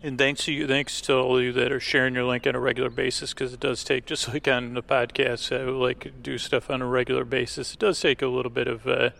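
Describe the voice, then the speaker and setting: Creaky-voiced man hosting a podcast, flat delivery. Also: mid-range voice. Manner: rambling, halting. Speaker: podcast host. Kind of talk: casual listener thank-you announcements.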